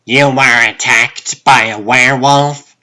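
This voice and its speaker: Man acting a sharp-toned, harried assistant. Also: mid-range voice, steady tone, slow, voice pushed up. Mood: skeptical. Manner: questioning, pointed. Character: harried, skeptical assistant to a TV producer. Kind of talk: comic book dub voice acting.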